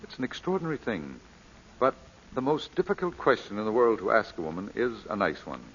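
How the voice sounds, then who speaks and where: An actor playing a genial, romantic English lord with an urbane, courtly manner in old-time radio drama. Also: male voice, fairly low pitch, wildly swooping pitch, brisk, fairly bright tone. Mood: romantic, teasing. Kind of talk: 1940s radio acting. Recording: dull, faintly noisy vintage radio recording.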